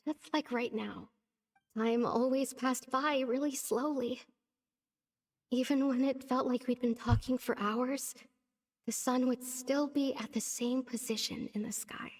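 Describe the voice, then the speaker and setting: A woman voicing a gentle, shy young village woman in a video game, speaking softly and hesitantly. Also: high voice, steady tone, a little slow, no echo, studio quality. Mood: wistful. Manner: soft and hesitant.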